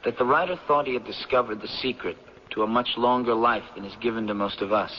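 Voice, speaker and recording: An actor playing a polite, earnest young anthropology professor in a radio drama, speaking tentatively and respectfully. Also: male voice, mid-range voice, fast talker, close to an everyday voice. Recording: echoing, dull, noisy radio recording.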